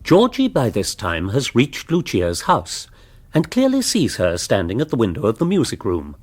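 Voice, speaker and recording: Animated English male storyteller. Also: medium pitch, normal speed, husky. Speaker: narrator. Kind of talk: scripted, wry storytelling narration in a radio drama.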